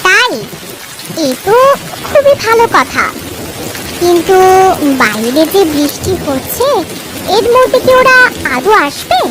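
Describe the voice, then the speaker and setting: A woman voicing an outspoken, affectionate, homely village woman in a cartoon story, with an expressive, animated delivery. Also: very high voice, slow, pauses often, very noisy, full character voice. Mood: affectionate, pleased.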